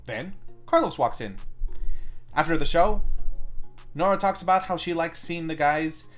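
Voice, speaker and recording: A man's voice: fairly high pitch, expressive, unhurried, faint music behind. A man hosting a film review, reading a scripted plot recap and adding wry commentary of his own. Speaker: film review show host.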